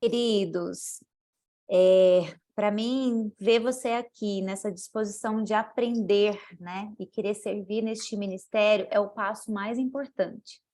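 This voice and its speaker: A woman's voice: mid-range voice, fairly even intonation, unhurried, warm tone. A woman with a Brazilian Portuguese accent giving heartfelt closing words with a personal testimony. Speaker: guest speaker. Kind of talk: closing words in an online class.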